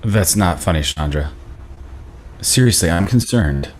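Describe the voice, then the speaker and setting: A man's voice: low-pitched, expressive, a little slow. A man playing a kind, concerned blue-collar father in his thirties at a script table read, earnest and a little hesitant. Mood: firm, apologetic.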